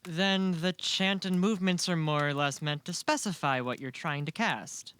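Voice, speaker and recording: A man's voice: a little high, moderate pace, a bit bright, clear, resonant tone, lightly acted. A man playing a curious young male newcomer to magic in an amateur audio drama, casual and full of skeptical questions. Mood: skeptical, probing. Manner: inquisitive, casual.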